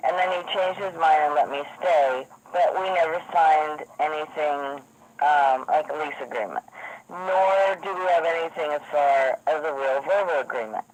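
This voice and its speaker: A hoarse woman who has lost her voice, insistently explaining a complaint over the phone to the police. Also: deep voice, normal speed. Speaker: caller to police. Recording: noisy phone line recording.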